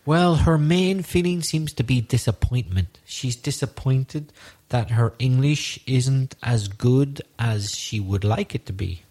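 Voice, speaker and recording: A man's voice: medium pitch, measured pace, a little mellow. Male co-host of a teaching podcast, upbeat and encouraging. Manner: friendly, encouraging, conversational.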